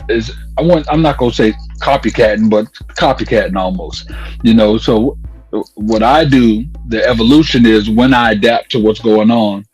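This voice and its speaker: A man's voice: lowish voice, moderate pace, darkish tone, breathy edge, poor audio. A man talking casually in an interview, slangy and conversational, telling stories as a radio host. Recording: music playing behind the voice. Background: music.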